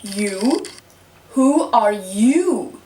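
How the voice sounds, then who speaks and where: A woman's voice: medium pitch, expressive, a little mellow, a little hiss or hum. A haughty caterpillar acted by a woman stretches out each question with lordly impatience. It is a puppet-show figure, heard a little way from the microphone in a live-sounding room. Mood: haughty, curt. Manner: drawn-out, imperious questioning. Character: slow, haughty, curt male caterpillar. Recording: roomy, distant home microphone.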